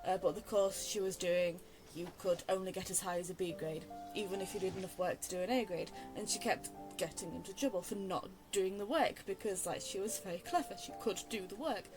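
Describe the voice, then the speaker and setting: A woman's voice: mid-range voice, fairly quick, hardly any pauses, over music, poor audio. A chatty young woman giving casual, digressive, self-deprecating game commentary. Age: young adult. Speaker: game streamer.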